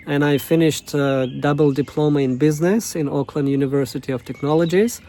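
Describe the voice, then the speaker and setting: Soft-voiced man with a tongue. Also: a little high. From Russia, Novosibirsk. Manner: halting, many fillers and restarts. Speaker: coach. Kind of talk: casual self-introduction monologue.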